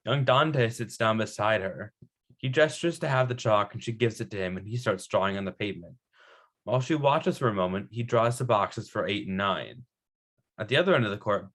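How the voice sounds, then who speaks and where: Low-voiced man reading stage directions. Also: fairly bright tone. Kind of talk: screenplay table read narration.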